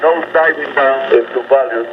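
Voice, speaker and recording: Guy hosting a shortwave radio show, medium pitch, dull sound w some static. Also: normal speed. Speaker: radio host. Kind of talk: shortwave radio program presentation. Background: radio static and noise.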